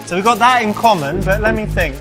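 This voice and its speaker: A man's voice: sits high, lively intonation, fast, crowd noise. A man playing a confident, flirty clubgoer in a live-action game, with a playful, self-assured way of talking. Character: confident, flirty, teasing man chatting someone up at a club. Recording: loud club music behind.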